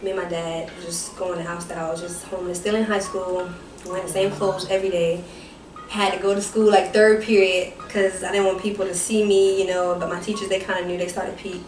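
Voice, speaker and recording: A soft-voiced young woman, a guest on a podcast, telling her life story in a rambling, self-correcting way. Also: lowish voice, flat delivery, fairly quick, over music. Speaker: guest, ministry founder. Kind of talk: personal testimony in casual chat. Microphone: distant mic. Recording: roomy, distant mic with background noise.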